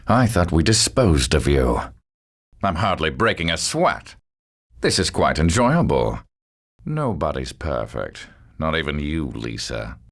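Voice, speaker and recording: An extremely deep, creaky villain in a video game, cold and arrogant. He speaks slowly and deliberately, with smug, mocking menace and theatrical swings in intonation. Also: very animated, full character voice. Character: cold, arrogant male villain, superhuman schemer with sinister calm.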